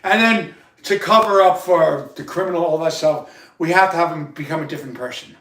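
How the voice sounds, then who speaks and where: High-voiced man with a loud voice. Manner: repetitive, halting, many restarts. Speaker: livestream host. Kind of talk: rambling personal monologue. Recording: roomy sound, far from the mic.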